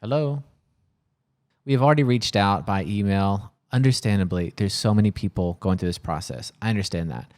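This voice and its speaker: A man's voice: on the low side, moderate pace, darkish tone. A man talking casually and earnestly to camera in a worried, frustrated manner, sometimes searching for words. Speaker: coffee shop owner filming a personal vlog.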